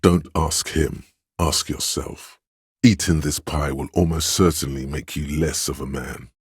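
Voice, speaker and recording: An actor playing a stern, commanding inner voice of authority in a video game, speaking in a grave, imperious, theatrical way. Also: male voice, extremely low pitch, steady tone, measured pace, husky, no echo, studio quality, full character voice. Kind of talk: dramatic scripted game narration.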